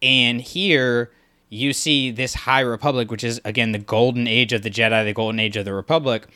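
Bright-voiced man chatting on a podcast. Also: mid-range voice, fairly even intonation, normal speed, clean tone. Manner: rambling, filler-heavy, enthusiastic. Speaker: podcast co-host. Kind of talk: casual discussion.

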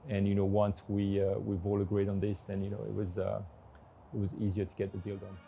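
A man's voice: fairly low pitch, completely flat delivery, fairly quick, vocal fry, dark tone, soft background music. A man who runs a tennis tournament, answering interview questions hesitantly with lots of fillers. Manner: hesitant, many fillers and restarts.

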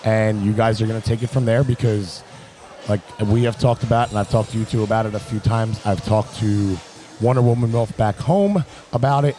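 A man's voice: lowish voice, fairly quick, music in the background. A man hosting a casual talk show, bantering loosely with his co-hosts, swearing now and then. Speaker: show host.

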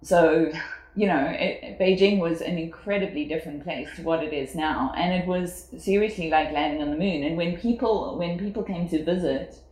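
Low-voiced South African woman, echoey room. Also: normal speed, darkish tone. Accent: South African English. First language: English. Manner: reflective, with frequent fillers and laughs. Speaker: guest. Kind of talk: casual conversational interview.

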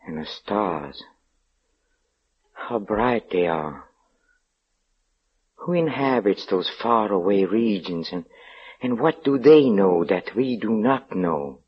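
A man's voice: deep voice, slightly rough, heavily acted. An actor playing a fearful, obsessed man who stammers and repeats words in a 1940s radio horror drama. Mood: hushed dread. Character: fearful, obsessed haunted man, unravelling into mania. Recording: muffled old radio recording with background noise.

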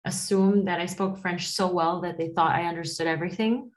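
A woman's voice: lowish voice, steady tone, brisk. A woman with a light Latin accent, a podcast studio CEO answering interview questions in a chatty way, laughing often and repeating words as she goes. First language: other than English.

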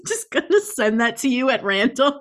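Animated, high-voiced woman podcaster. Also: moderate pace. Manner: giggly, exaggerated, playful. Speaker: podcast co-host. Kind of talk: casual comic banter with dramatic readings.

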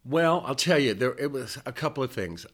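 A man's voice: mid-range voice, expressive, fairly quick. A man in his sixties telling his life story in an interview, rambling and wandering off the subject as he talks. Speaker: interview guest, musician. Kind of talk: casual reminiscing interview.

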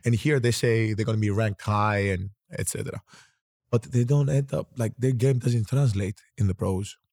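A man's voice: lowish voice, normal speed, no room sound, clean studio sound. A man in his thirties from Greece, with a Greek accent, hosting a casual interview and rambling through his stories with frequent restarts. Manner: relaxed, rambling, restarts often. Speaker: podcast host.

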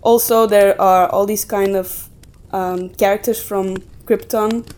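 A woman's voice: medium pitch, steady tone, unhurried, darkish tone. A woman co-hosting a podcast, talking casually and giving emphatic opinions. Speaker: podcast co-host. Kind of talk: casual discussion of storytelling.

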